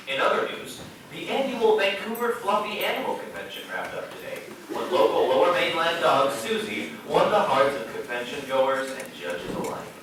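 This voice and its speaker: A man's voice: mid-range voice, fairly bright tone, lightly acted. A man playing a self-important TV news anchorman, reading mock evening news in a formal announcer's delivery. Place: large echoey room, live stage show. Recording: echoey hall from far off, faint noise.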